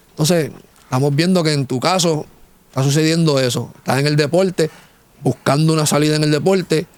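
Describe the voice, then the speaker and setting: A man's voice: a little high, a little mellow, no echo. A man hosting a conversational interview, reflecting and exhorting in a religious vein. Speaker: host.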